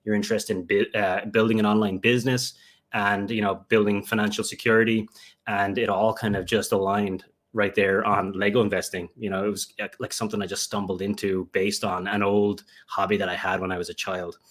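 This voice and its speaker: A young man with an Irish accent talks through his answers in a lowish voice. He rambles and circles back, filling gaps with "you know" and "um" as he restarts his sentences. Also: little pitch movement, brisk, fairly bright tone. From Ireland. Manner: rambling, many fillers and restarts. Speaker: guest, Lego investor. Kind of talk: remote interview answers.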